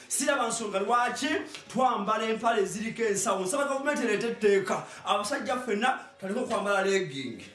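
A middle-aged man with a Ugandan accent, delivering an animated comic monologue to camera as a show host, often repeating phrases for emphasis. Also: high voice. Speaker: online comedy show host. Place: small indoor studio with hard walls. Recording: echoey room, distant microphone, music.

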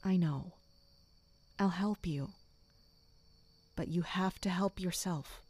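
Casting: composed, guarded, caring woman for audio drama, unhurried delivery, mid pitch, dry close sound. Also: husky, no echo. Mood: calm, reassuring. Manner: calm, measured, gentle. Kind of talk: audio drama acting with first-person narration.

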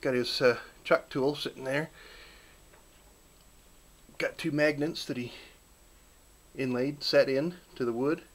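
A man's voice: mid-range voice, takes it slowly, pauses often. Folksy man giving a workshop tour. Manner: relaxed, folksy and chatty. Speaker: channel host filming a shop tour. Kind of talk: casual narrated shop tour. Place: small backyard wooden workshop.

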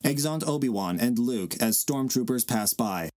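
Man, medium pitch, scripted narration, stage directions, dry close sound. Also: animated, moderate pace, darkish tone, no room sound.

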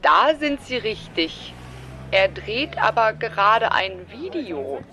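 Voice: mid-range voice, sing-song, takes it slowly, bright, forceful tone, exaggerated voice. An actor playing a doting, naive older mother, sweet and fussing, in a parody detective audio play. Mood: sweetly fussing.

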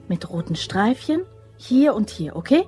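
A woman's voice: medium pitch, big pitch swings, measured pace, warm tone, soft background music. An actress playing a breezy, self-assured female office worker in a radio crime drama, playful and teasing. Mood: decisive.

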